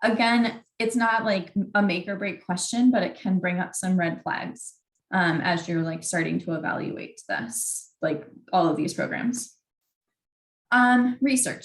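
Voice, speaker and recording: Candid young woman in an echoey room. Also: lowish voice. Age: young adult. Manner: conversational, candid, peppered with "like". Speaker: graduate student mentor leading a workshop. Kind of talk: informal advice presentation. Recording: roomy, echoey sound.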